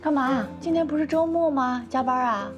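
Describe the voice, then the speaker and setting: Casting: woman as young wife and mother, higher-than-average voice, short colloquial lines, naturalistic drama. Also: moderate pace, muffled recording, over music, natural voice. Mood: subdued concern. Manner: terse, questioning, colloquial. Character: downcast, skeptical young wife and doting mother. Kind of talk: naturalistic screen drama acting.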